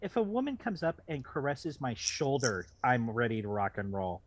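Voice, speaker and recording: A male co-host trades jokes in casual banter. He rambles into comic riffs in a halting way, starting and restarting as he builds the bit. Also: medium pitch, big pitch swings, a little hiss or hum. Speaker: podcast co-host commentator.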